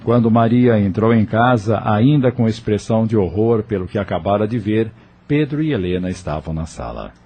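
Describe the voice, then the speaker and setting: A man narrating an audio novel from a script in a measured storytelling manner. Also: fairly low pitch, expressive, warm tone, slight background noise. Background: music.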